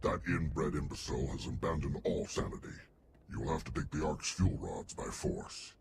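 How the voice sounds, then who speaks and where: A man's voice: extremely low pitch, normal speed, vocal fry, noisy background. An actor playing a vain, pompous male scientist and weapons designer in a video game. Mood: scornful boasting. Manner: pompous, haughty.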